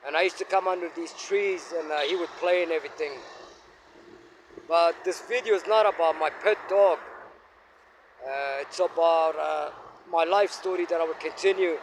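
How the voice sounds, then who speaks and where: A man in his fifties from Afghanistan, telling his life story to his phone camera while walking in an outdoor park, speaking in a halting, reflective way with many fillers. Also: on the high side, slight background noise, low-quality recording. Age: 50s. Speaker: vlogger. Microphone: phone held facing himself.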